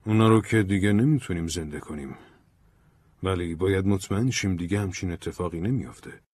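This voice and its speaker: Narrator as an angry man: very deep, husky, blunt. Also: a little mellow, no echo. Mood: indignant. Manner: blunt, indignant. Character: angry young man seeking justice.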